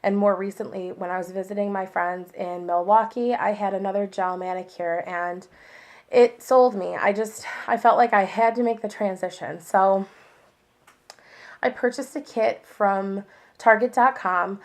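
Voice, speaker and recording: A woman's voice: medium pitch, fairly even intonation, measured pace. A young woman chatting casually about her favorite products, rambling with fillers and sounding a little worn from a lingering cold. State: recovering from a cold, voice a bit worn. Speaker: beauty vlogger. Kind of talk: casual monthly favorites review.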